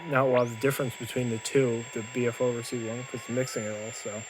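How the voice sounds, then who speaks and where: Man talking casually over loud radio noise. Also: mid-range voice, little pitch movement. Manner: informal, halting, thinking aloud. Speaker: radio hobbyist demonstrating a homemade setup. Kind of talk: casual hands-on demonstration. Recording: noisy room with loud radio static. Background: shortwave radio noise and signals.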